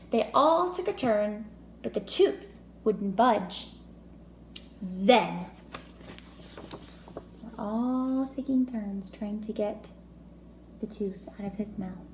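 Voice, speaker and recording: A woman reads a picture book to young children in an expressive, teacherly way. Her voice is fairly high with noticeable creak, and she takes her time, pausing for chatty asides. The room echoes around her and there is faint noise. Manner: expressive, child-directed, asking questions and commenting. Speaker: kindergarten teacher reading aloud. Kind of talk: storybook read-aloud with chatty asides to children.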